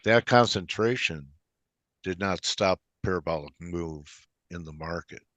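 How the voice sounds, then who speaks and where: A man's voice: low-pitched, a little slow, a bit bright, no room sound. A man hosting a conversational financial interview, putting questions and his own views to a guest. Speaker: interviewer, host.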